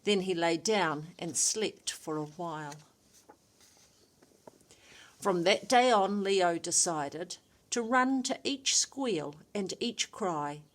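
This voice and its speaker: A woman's voice: on the low side, expressive, takes it slowly. A woman storyteller reading a rhyming children's story expressively.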